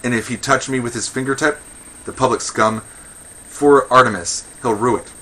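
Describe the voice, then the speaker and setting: A man's voice: mid-range voice, moderate pace, sharp tone, pitched above the performer's own voice, low-quality recording. A man voicing defiant, fiery Athenian women in a verse comedy, taunting and threatening in a declamatory way.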